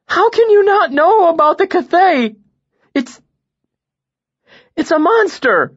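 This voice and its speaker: A volatile young fae servant, put on by a male audiobook narrator, who shouts in a shrill, near-hysterical voice. His pitch leaps high and swings wildly from line to line. The recording sounds slightly dull. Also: expressive, a little slow. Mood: frantic pleading.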